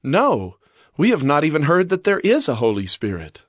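A man's voice: a little high, huge pitch swings, normal speed, no room sound, studio quality. A man playing a sincere, unknowing disciple in a Bible audio drama, answering earnestly and plainly. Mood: innocent, earnest.

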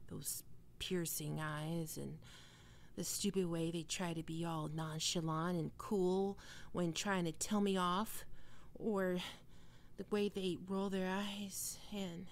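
Woman doing a prickly lil vampire catgirl for asmr roleplay, snarky n defensive, talks real slow. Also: mid-range voice, vocal fry, a little hiss or hum. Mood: flustered, brooding. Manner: sarcastic, defensive, sighing asides. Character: prickly, flustered young female cat-girl vampire roommate.

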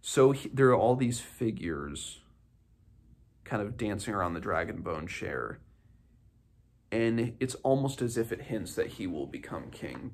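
A man in his twenties with a low, husky voice that often drops into fry. He muses his way through a casual book discussion, stopping and starting as he thinks aloud. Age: 20s. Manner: musing, halting, thinking aloud. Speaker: book reviewer, host of a read-along. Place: small home room, indoors.

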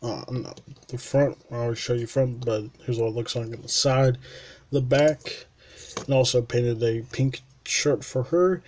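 Slow, halting man full of ums. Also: medium pitch, steady tone, a little mellow, slight background noise. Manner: halting, many fillers and restarts. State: sniffling, dry mouth. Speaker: toy customizer showing his figures. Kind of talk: casual showcase narration. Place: indoors at a desk, off camera.

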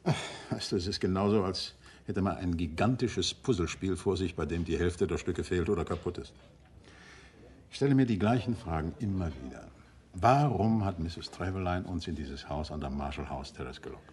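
Actor as urbane, deep-voiced genial detective. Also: male voice, moderate pace, darkish tone, lightly acted. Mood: musing. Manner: playful, conversational, hesitating for effect. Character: genial urbane male private detective and novelist, teasing husband. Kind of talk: German radio play acting.

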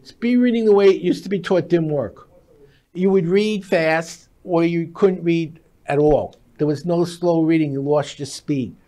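A man's voice: fairly high pitch, mellow. A man in his sixties explaining things in an emphatic, instructive way, in short declarative sentences, as a guest expert in a studio interview. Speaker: guest expert, speed reading teacher. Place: TV-style studio at a glass desk. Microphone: lapel mic.

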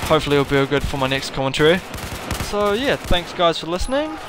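A young man with a croaky voice from a cold, rambling casually through his opinions as a gaming commentator. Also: on the high side, very animated, quick pace, noisy background. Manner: rambling, self-correcting, chatty. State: croaky from a cold and sore throat. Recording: voice over loud game gunfire. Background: gunfire and artillery from the game.

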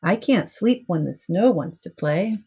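Middle-aged woman, children's read-aloud, creaky husky voice, measured storybook phrasing. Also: lowish voice, animated, warm tone. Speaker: preschool teacher reading aloud. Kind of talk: picture book read-aloud for young children. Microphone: webcam at arm's length.

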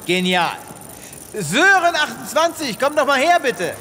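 A man's voice: extremely high pitch, big pitch swings, unhurried, pushed and bright, a little hiss or hum, heavily acted. A man playing a law-abiding, naively upbeat space veterinarian with a fussy, theatrical manner in a comedy audio drama. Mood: cheerful. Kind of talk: German comedy science fiction audio drama.

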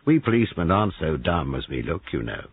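A man voicing a brisk, self-satisfied young man posing as a policeman in an audiobook. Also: very animated, breathy edge, no echo, full character voice. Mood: accusing. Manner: brisk, confident, lifting pitch. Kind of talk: audiobook character voice. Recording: narrow phone-like sound, dry.

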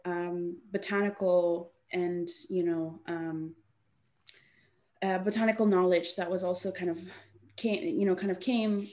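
A young woman from Honduras speaks on a video call, her voice low and level with little rise and fall. She talks haltingly, circling back with fillers and restarts as she thinks aloud. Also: darkish tone. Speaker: guest artist. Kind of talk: casual webinar talk.

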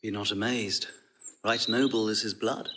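A man's voice: fairly low pitch, steady tone, normal speed, background noise, lightly acted. A man playing a lovestruck noble duke in classical Shakespearean style, with stately verse delivery. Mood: tender, resolved. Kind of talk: classical Shakespearean stage acting. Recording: remastered audio drama with music underneath.

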